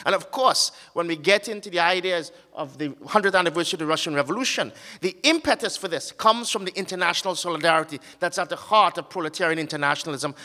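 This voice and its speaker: A man giving an academic conference talk with slides, earnest and halting, often pausing on fillers and restarting his sentences. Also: sits high, sharp tone, long reverb tail. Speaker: conference speaker. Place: conference hall.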